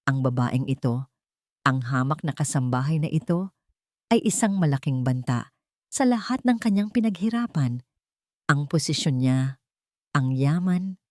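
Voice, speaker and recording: A woman narrating a scripted romance story dramatically, in suspenseful, short emphatic phrases with pauses. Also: deep voice, darkish tone, no echo, clean studio sound.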